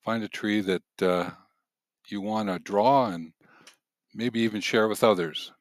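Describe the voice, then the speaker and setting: A man with a fairly low voice hosts a gentle guided narration. He speaks very slowly and deliberately, leaving pauses between short phrases. The sound is dry and close, like a voice-over. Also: fairly even intonation, no echo. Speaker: host of a nature channel.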